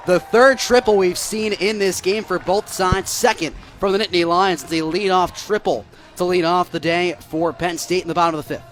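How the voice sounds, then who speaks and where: Young American man calling baseball, higher-pitched voice. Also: moderate pace, hardly any pauses. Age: young adult. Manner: rises into excited calls on big plays. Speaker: baseball play-by-play broadcaster. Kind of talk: live radio sports play-by-play commentary.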